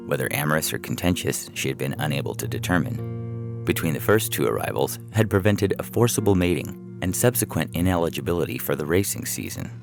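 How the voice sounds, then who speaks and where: A man's voice: rumbling low, vocal fry. A man reading a humorous science fiction story as a scripted narration, with music playing behind him.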